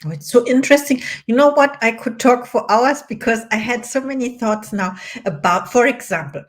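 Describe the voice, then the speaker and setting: A woman's voice: on the high side, normal speed. A woman who speaks English as a second language, hosting an interview in a thoughtful, word-searching way. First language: not English. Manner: thoughtful, searching for words, light laughs. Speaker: interview host. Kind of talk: conversational interview.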